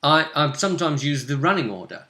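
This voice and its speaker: The bright-voiced man at the head of a gaming table who runs the adventure and afterwards hosts the casual recap show about it. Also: fairly high pitch, very animated, brisk. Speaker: game master and show host. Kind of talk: casual recap chat about a tabletop role-playing session.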